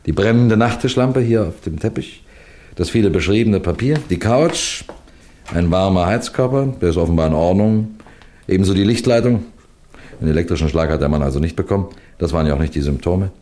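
An actor playing a shrewd, genial middle-aged detective who questions and narrates in a measured, gently teasing way, in the style of a 1960s German radio mystery. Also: male voice, deep voice, natural voice. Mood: pensive, teasing. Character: shrewd, genial police detective narrating his own case.

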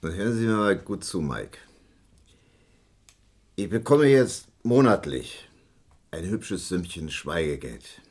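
Husky, sly, stubborn radio-play witness. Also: male voice, low-pitched, big pitch swings, takes it slowly, long pauses, heavily acted. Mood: sly, confiding. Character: sly, stubborn male witness profiting from hush money. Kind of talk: German radio crime drama acting, 1970s.

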